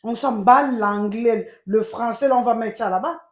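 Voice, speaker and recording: A woman with a Congolese accent giving emphatic, animated casual commentary as a channel host, switching between French and Lingala. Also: fairly high pitch, normal speed, roomy sound. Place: United States.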